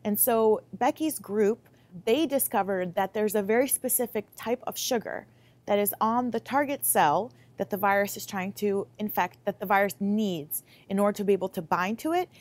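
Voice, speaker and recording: A young woman in her late twenties with an American accent speaks at a medium pitch. She answers interview questions as a student researcher, explaining things clearly and articulately. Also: moderate pace, darkish tone. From Lithuania, moved to the US Midwest at about eight. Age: late 20s. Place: laboratory, indoors. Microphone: lapel mic.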